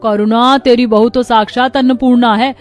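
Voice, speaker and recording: A woman's voice: a little high, steady tone, normal speed, faint music behind, natural voice. A woman voicing a chatty neighbour lady. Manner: chatty, praising. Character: friendly middle-aged neighbour woman, chatty.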